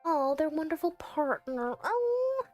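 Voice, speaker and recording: Female streamer, high voice, unhurried pace, effusive playthrough commentary. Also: very animated, a little mellow, faint music behind. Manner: effusive, exclaiming, theatrical. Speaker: streamer playing a visual novel. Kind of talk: game playthrough commentary and reading aloud.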